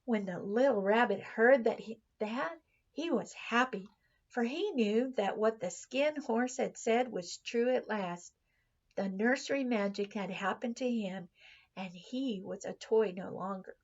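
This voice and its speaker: A woman reading a children's story aloud like a teacher, expressively, with dramatic pauses and drawn-out words. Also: fairly high pitch, dull audio.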